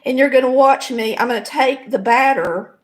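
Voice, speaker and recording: A woman with a Southern US accent and a raspy, hoarse voice that sits a touch high. She talks folksily and explains every step as she cooks in her home kitchen, sounding middle-aged. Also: normal speed, poor audio. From American South. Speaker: home cooking show host. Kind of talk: casual cooking demonstration.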